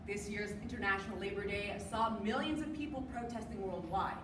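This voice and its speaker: A woman's voice: medium pitch, a little fast. Young woman declaiming in a roomy, noisy space. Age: young adult. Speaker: readers theater performer on a college forensics team. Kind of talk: rehearsed dramatic readers theater speech. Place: indoor room, live stage performance. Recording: echoey room from far off, noisy.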